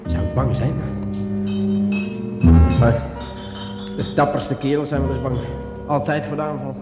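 Actor as jittery man muttering in echoey dark. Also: mid-range voice, steady tone, muffled recording, close to an everyday voice. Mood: nervous, uneasy. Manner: agitated self-talk, halting and repeating words. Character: jittery man with a sword alone in a dark cellar, muttering to himself. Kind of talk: radio drama monologue. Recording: echoey, with loud music behind.

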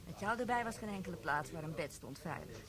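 An actress playing a dry, teasing barmaid in a radio play. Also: female voice, fairly low pitch, lively intonation, quick pace, natural voice. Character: sharp-tongued, teasing middle-aged barmaid. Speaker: barmaid Grace. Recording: pub chatter behind.

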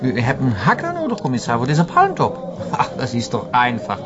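A man's voice: medium pitch, wildly swooping pitch, fairly quick, dull audio, music in the background. An actor playing a playful, exuberant German man who mixes German into his Flemish Dutch in a TV crime drama. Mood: confident, showing off. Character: flamboyant, playful, tipsy German man, video-game fan.

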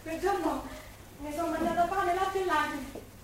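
Echoey, high-voiced Roman woman. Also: moderate pace, far from the mic. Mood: wistful, tearful. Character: emotional working-class woman of wartime Rome, wistful storyteller. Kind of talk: stage drama acting, colloquial dialect. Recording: echoey stage from afar, noisy.

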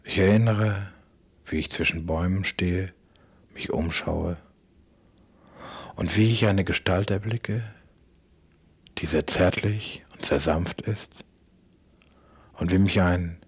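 A man delivering a halting, brooding spoken-word monologue for radio, repeating phrases and often dropping toward a whisper. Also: low voice. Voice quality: husky, breathy.

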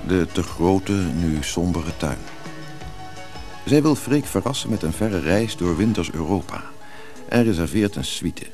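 A man with a very low voice reads scripted narration as the announcer of a radio drama. Music plays clearly behind him. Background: prominent music.

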